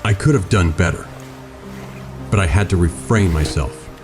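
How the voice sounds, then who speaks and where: An actor playing a grave, guarded vigilante hero in an audio drama, speaking in a serious, restrained manner. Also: male voice, low-pitched, moderate pace, music in the background, natural voice. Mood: stern, brooding.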